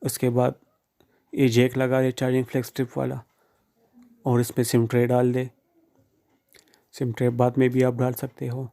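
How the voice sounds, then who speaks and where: Flat, monotone man giving instructions. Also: medium pitch, moderate pace, gravelly, long pauses. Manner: plain, informal, addresses viewers directly. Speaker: phone repair tutorial presenter. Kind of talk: instructional step-by-step how-to narration.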